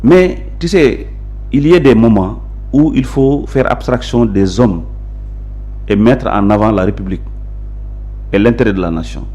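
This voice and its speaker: A man's voice: lowish voice, moderate pace, long pauses, warm tone. Assertive man with a Senegalese accent. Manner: assertive, emphatic, explanatory. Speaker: interview guest, local politician. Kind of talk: conversational interview, mixing Wolof and French.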